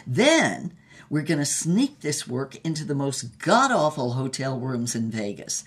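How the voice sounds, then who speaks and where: A woman's voice: extremely low pitch, big pitch swings, a little slow, exaggerated voice. An actress playing a defiant, tender older woman artist, telling stories in an emphatic, theatrical way for an audio drama. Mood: proud storytelling. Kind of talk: audio drama acting, storytelling.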